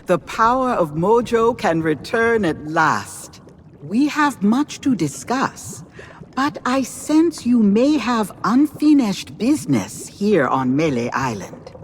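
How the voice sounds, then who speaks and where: An actress playing a calm, knowing mystical seer in a video game, speaking in a measured, portentous and formal way. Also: female voice, medium pitch, a little hiss or hum. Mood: knowing, welcoming. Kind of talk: video game character acting.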